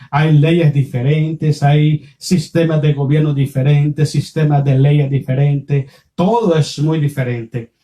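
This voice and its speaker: A man's voice: fairly high pitch, warm tone. A male pastor with a Nicaraguan Spanish accent preaching a didactic sermon, repeating phrases and posing rhetorical questions.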